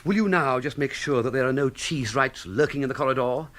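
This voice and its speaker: An actor playing a flustered, hapless young English gentleman in a comic radio drama, with a plummy upper-class accent and a blustering manner. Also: medium pitch, brisk, lightly acted. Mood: grumbling sarcasm. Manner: plummy, blustering, sarcastic, stammering when cornered.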